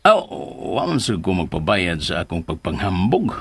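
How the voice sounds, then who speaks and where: Swooping, boastful old suitor, Cebuano accent. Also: male voice, sits low, big pitch swings, moderate pace, slightly rough, heavily acted. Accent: Filipino, Cebuano. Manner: pompous, bragging, exaggerated. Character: boastful, vain older man, comic rival suitor. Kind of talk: Philippine radio drama acting.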